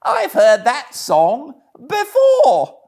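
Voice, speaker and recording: A man's voice: very high-pitched, huge pitch swings, drawn-out words, darkish tone, pitched above the performer's own voice, exaggerated voice. A man voicing a boastful, cunning storybook toad posing as a washerwoman, theatrical and haughty. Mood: gloating.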